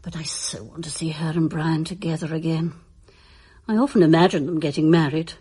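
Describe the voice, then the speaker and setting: A genteel older mother in a radio drama. Her lowish, husky voice rises and falls widely, chatty and wistful. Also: steady tone, a little fast, warm tone.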